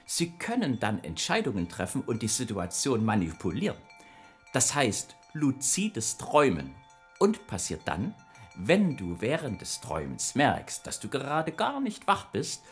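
A man's voice: medium pitch, huge pitch swings, measured pace, husky, faint music behind, exaggerated voice. A man voicing a friendly, chatty nightmare spirit who patiently explains dreams in a children's radio play. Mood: explaining. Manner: explains patiently, evasive then informative.

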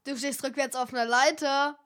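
Bright, high, cheeky radio co-host played by a woman. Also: steady tone, normal speed. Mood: teasing. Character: cheeky, impatient young co-host who corrects the other. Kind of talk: scripted children's radio play.

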